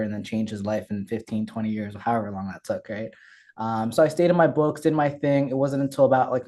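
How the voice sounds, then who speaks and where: A young man from Ethiopia, a guest telling his story in a candid, energetic way with frequent fillers and restarts. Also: medium pitch. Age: young adult. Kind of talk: casual interview storytelling.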